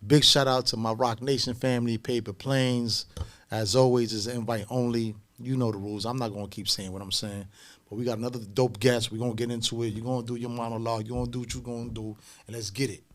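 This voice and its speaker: A man's voice: medium pitch, level tone, fast, a bit bright. Laid-back male podcast co-host. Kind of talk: casual show welcome.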